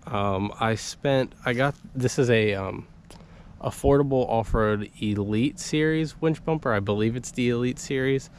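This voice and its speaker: A man's voice: mid-range voice, measured pace, a little hiss or hum. A young man with a stuffy cold, casually walking through his vehicle build as a vlogger, with lots of ums and likes. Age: young adult. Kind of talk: casual vlog walkthrough. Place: inside a parked vehicle, then outdoors beside it.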